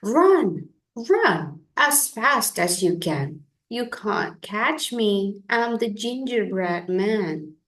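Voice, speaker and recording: A woman's voice: mid-range voice, big pitch swings, drawn-out words, hardly any pauses, a bit bright, echoey room, heavily acted. A woman voicing a cheeky, boastful little gingerbread man from a storybook, in a taunting sing-song chant. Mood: gleeful taunting.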